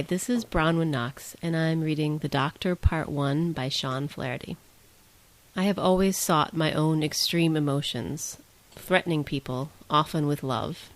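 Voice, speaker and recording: Low-voiced woman reading literary prose. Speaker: story reader.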